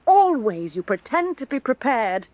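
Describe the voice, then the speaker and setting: An actress playing a resentful grown daughter and former associate who speaks in an earnest, reproachful way. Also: female voice, on the high side, sing-song, a little slow, darkish tone, no room sound. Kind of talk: radio drama acting.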